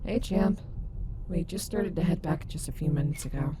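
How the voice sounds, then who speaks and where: A woman's voice: sits low, normal speed, noisy background, natural voice. A woman playing a sardonic but protective animatronic wolf rock star in a fan audio roleplay, blunt and affectionate. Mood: tender, soothing. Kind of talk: fan audio roleplay, soft intimate acting.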